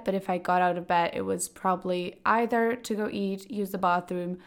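A young woman in her late teens with a raspy, hoarse voice from a cold, hosting a casual solo podcast in a loose, chatty way. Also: medium pitch. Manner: chatty, loose, full of "like" and "kinda". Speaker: podcast host.

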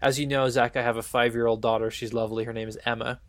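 A man with a noticeably creaky, gravelly edge to an average-pitched voice. He talks casually as a podcast co-host, posing football questions in a conversational way. The sound is close and dry. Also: level tone, fast, no room sound. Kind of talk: casual football quiz chat.

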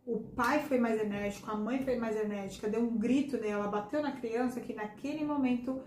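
Hoarse woman, echoey room. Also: on the high side, brisk, darkish tone. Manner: earnest, addresses listeners directly. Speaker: podcast host and hypnotherapist. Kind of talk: casual podcast conversation. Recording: echoey room with faint background noise.

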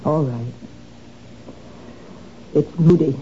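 A nervous young wife who keeps stumbling over her words, pleading in a dark, mellow tone. It sounds like an old radio play: dull and lo-fi, with faint hiss underneath. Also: low-pitched, very slow. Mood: pleading, flustered. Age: young adult. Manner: pleading, stammering, flustered. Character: anxious young wife who can't stop stealing. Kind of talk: 1970s radio drama acting.

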